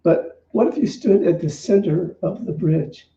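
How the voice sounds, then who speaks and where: A man with a slightly high voice speaks as a minister in an informal, conversational talk, pausing as he finds his words. The recording is echoey and a bit dull, picked up from some distance. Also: expressive, vocal fry, warm tone. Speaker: minister and service host. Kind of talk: informal spiritual talk to a congregation.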